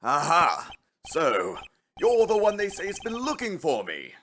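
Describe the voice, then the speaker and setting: A man voicing a pompous, blustering older lawyer in a video game fan dub, theatrical and evasive, with hums and throat-clearing. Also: fairly high pitch, huge pitch swings, measured pace, a bit bright, a little hiss or hum, exaggerated voice. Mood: pompous, booming.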